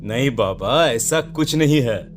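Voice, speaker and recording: A man's voice: medium pitch, animated, measured pace, soft background music, close to an everyday voice. A man voicing a reassuring, dismissive young newlywed husband in a horror cartoon. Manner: reassuring, brushing worries aside. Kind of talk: Hindi horror cartoon dialogue.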